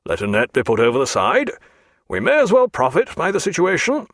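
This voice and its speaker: A man voicing a calm, genial naval captain in an audiobook, assured and courteous, with old-fashioned naval phrasing. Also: fairly high pitch, fairly quick, no room sound, studio quality, voice pushed up, heavily acted. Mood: commanding.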